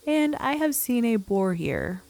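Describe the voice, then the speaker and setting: A woman hosting a relaxed gameplay video, her voice scratchy and croaky from a cold, chatting in a laid-back, self-deprecating way. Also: on the high side, measured pace. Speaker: gaming channel host. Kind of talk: casual gameplay commentary.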